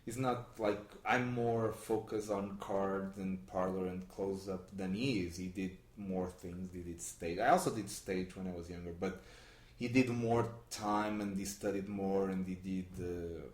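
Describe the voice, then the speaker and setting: Man, from Portugal, Portuguese native, very low voice, halting with restarts, echoey room. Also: steady tone. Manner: halting, many restarts and fillers. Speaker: guest magician. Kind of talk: conversational interview. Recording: roomy echo.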